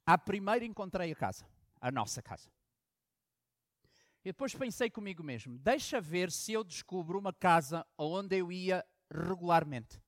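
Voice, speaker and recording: High-voiced pastor preaching with dramatic swings. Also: male voice, animated, normal speed, dry recording, clean studio sound. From Angola. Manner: storytelling preacher with dramatic swings from loud emphasis to hushed asides. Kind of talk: sermon.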